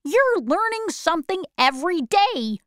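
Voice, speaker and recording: A woman voicing a timid old man who poses as a wizard, pleading and apologetic, read slowly in a storybook. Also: very high voice, big pitch swings, fairly bright tone, dry recording, clean studio sound, voice pushed up, full character voice. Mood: explaining. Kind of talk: slow storybook reading.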